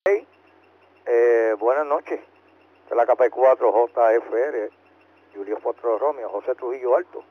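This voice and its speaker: A Puerto Rican man checks in on ham radio at a slow pace. His mid-pitched voice comes through a dull, lo-fi transmission. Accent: Puerto Rican Spanish. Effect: two-way radio transmission. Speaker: amateur radio operator checking in. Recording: muffled two-way radio transmission.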